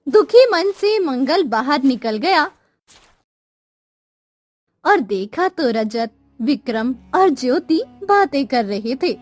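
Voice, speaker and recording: A woman's voice: sits high, sing-song, takes it slowly, a little mellow, dry recording. A woman narrating a scripted cartoon moral story.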